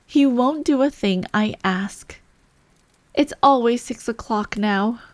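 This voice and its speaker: A woman's voice: on the high side, slow, a little mellow, dry recording, voice pushed up. A woman voicing an eccentric male hat-maker, haughty and mournful, in a storybook reading.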